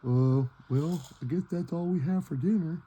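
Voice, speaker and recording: A woman voicing a groggy, hesitant, deadpan puppet character in an improvised puppet show. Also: low-pitched, normal speed, breathy edge, lo-fi sound, voice pushed down. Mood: glum.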